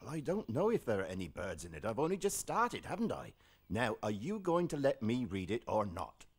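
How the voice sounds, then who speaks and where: A man voicing a big, sleepy, patient hippo father in a children's television show, with a husky, gravelly voice. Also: on the low side, wildly swooping pitch, a little fast, no echo, heavily acted. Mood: mildly exasperated. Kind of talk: children's television character acting.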